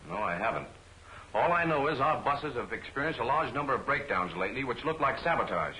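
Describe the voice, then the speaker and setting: An actor playing a worried, formal company executive, courteous and firm, in 1940s film serial style. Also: male voice, medium pitch, big pitch swings, fairly quick, pushed and bright, poor audio. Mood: earnest, concerned. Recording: hissy old film soundtrack.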